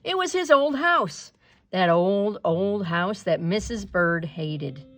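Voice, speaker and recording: Slow, lively woman reading a storybook. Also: medium pitch, a little hiss or hum. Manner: animated, with asides to young listeners. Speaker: storyteller reading a children's picture book aloud. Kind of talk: expressive read-aloud narration.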